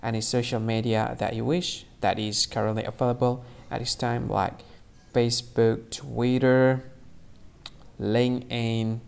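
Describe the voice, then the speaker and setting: Male online video host, casual explainer delivery, simple repetitive phrasing, noticeable vocal fry. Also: mid-range voice, little pitch movement, unhurried. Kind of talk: casual explainer to camera or screen.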